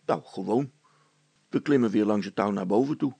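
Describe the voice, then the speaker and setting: This is a man acting a practical storybook handyman. He speaks in a husky, very creaky voice, coaxing and gently teasing. Nothing ruffles him. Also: lowish voice, moderate pace, no room sound, voice pushed down. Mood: confident, coaxing. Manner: matter-of-fact, gently teasing, encouraging.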